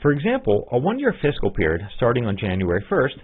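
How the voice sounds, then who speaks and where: A man narrating a scripted software tutorial as an instructor, in a methodical, step-by-step way. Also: mid-range voice, huge pitch swings, fast talker, breathy edge. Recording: muffled, phone-like narrow-band audio.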